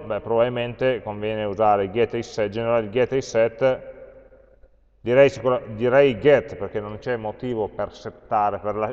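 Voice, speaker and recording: An Italian man teaching a programming class, thinking aloud as he types code, with frequent pauses and fillers. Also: medium pitch, darkish tone. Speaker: university lecturer. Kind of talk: live-coding programming lesson. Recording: dull lo-fi lecture capture with long hall reverb.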